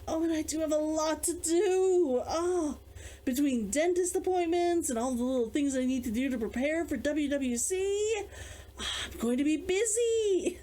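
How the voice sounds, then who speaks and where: Woman, very high voice, lively swooping intonation, giggly, excitable, chatty read-along host. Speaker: author hosting a read-along of own book. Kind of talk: chatty intro and story reading.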